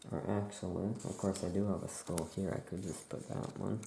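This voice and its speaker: A soft-spoken ASMR performer playing a laid-back witch shopkeeper, musing aloud in an unhurried, creaky voice. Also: low voice, fairly even intonation. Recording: faint background noise.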